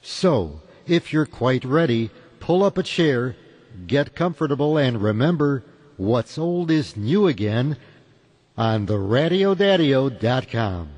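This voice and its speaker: A man reads a scripted introduction as host of a vintage radio show. His mid-range voice is animated, rising and falling a lot, and carries a long reverb. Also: medium pitch, slow, slightly rough. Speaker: podcast host.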